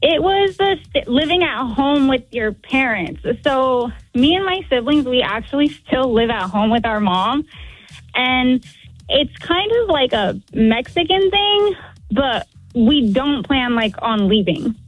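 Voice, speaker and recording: A woman's voice: high-pitched, sing-song, unhurried, dry recording, low-quality recording. A woman calling in to a radio show as a guest, chatting casually in a laid-back way. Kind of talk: casual chat.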